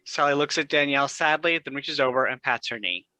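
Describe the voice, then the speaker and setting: Brisk, bright man reading stage directions. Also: medium pitch, no room sound, low-quality recording. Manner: brisk, matter-of-fact. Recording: dry close recording.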